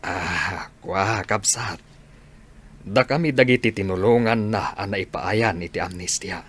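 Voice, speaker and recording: Low-voiced, steady crew boss. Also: male voice, measured pace, husky, full character voice. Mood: hesitant, pleading. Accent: Ilocano. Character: grateful, steady male boss of an amnestied crew. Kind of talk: Ilocano radio drama acting.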